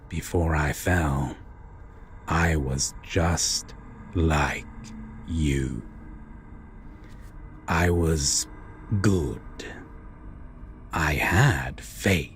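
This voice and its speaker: A man playing a bitter, sardonic fallen angel turned demon in a dramatic audio roleplay, speaking deliberately with drawn-out pauses. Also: extremely low pitch, heavily acted.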